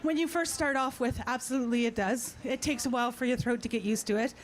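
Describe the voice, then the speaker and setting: A woman's voice: on the high side, fairly even intonation, fast. A woman who performs as a throat singer, chatting playfully and instructing an audience in an informal way from an outdoor festival stage. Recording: live outdoor sound, clear background noise.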